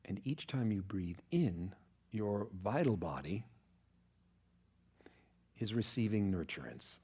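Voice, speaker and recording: A man guiding a relaxation meditation as a yoga nidra teacher, speaking in a calm, measured, soothing way with pauses. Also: fairly low pitch, slightly rough.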